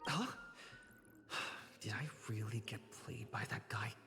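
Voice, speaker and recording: Earnest young game hero, music behind. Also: male voice, fairly low pitch, takes it slowly. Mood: unsure. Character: earnest young male adventurer, reassuring, a bit flustered. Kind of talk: game voice acting.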